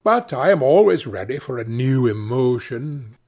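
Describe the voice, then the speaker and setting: A man's voice: mid-range voice, very animated, a little slow, darkish tone, husky, exaggerated voice. A man voicing a witty, cynical aristocrat in an audiobook, with a nonchalant, pompous, epigrammatic manner. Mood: suave, teasing. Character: languid witty cynical male aristocrat, urbane and teasing. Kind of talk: audiobook character dialogue.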